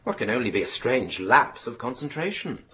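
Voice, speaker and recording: An actor playing a formal, insistent inquiry chairman with a husky voice, questioning in a radio drama. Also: mid-range voice, big pitch swings, moderate pace, a bit bright. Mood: insistent, probing. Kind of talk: naturalistic radio drama acting.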